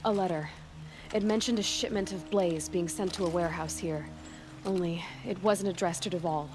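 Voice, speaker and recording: Woman playing a low-toned, earnest young huntress. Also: normal speed, background noise, close to an everyday voice. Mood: focused. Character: sympathetic, resolute young huntress. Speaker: actor in a video game cutscene. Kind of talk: dramatic game acting. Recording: music behind the dialogue.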